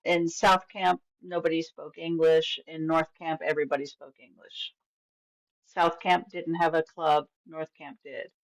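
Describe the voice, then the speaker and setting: A woman's voice: deep voice, flat delivery, a little slow, poor audio. A middle-aged woman from Venezuela, a podcast guest telling stories of her upbringing in an easygoing, enthusiastic way, with frequent restarts. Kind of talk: casual conversational storytelling.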